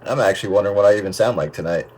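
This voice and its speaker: Casting: male radio hobbyist, fairly low voice, informal talk, buried in loud noise. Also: racing. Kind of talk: casual on-air radio chat. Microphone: radio microphone. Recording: old radio set with loud static.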